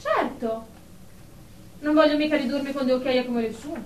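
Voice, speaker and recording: A woman's voice: high-pitched, moderate pace, a little mellow, lightly acted. An actress playing a haughty, self-important career woman in a radio drama therapy session. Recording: echoey room, distant mic, faint noise.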